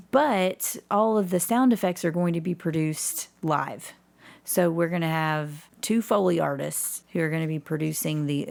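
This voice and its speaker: A woman's voice: low-pitched, gravelly, a little mellow. A woman speaking as an enthusiastic guest in a casual interview about her own work. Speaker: podcast guest, community theatre actor and director.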